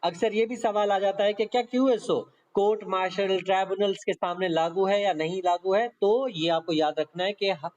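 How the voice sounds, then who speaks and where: High-pitched Pakistani man giving a law lecture. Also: normal speed, hardly any pauses, a little mellow. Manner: explains step by step with repetition and frequent check-in tags. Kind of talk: conversational exam-prep lecture, explaining legal text. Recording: dull, lo-fi lecture audio.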